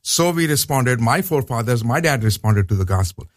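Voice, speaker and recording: A man's voice: mid-range voice, lively intonation, a little fast, no echo. A man from Pakistan with a Pakistani accent, a professor speaking as a radio interview guest in a studio, earnest and explanatory, often repeating his points for emphasis.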